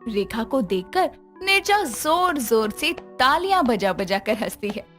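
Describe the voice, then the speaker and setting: A woman narrates a scripted bedtime-style tale. Her voice is on the high side and swings expressively through each sentence. Faint music plays behind her. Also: sing-song, measured pace, a bit bright. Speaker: story narrator.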